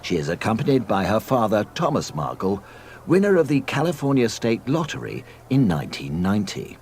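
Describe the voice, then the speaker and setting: A man narrating a scripted read-along storybook in a mock-solemn, deadpan comic manner. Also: lowish voice, brisk, background noise.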